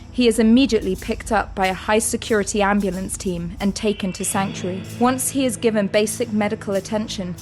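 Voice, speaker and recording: A woman narrating an audio drama recap in a measured, steady storytelling voice. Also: medium pitch. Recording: music under the narration.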